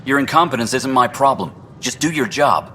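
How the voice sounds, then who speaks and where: A petty station official speaking in curt, belittling putdowns. His voice is bright and forceful, middle in pitch. This is game dialogue with a faint hum underneath. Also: lively intonation, a little fast. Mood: dismissive. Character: condescending male station official, petty and dismissive.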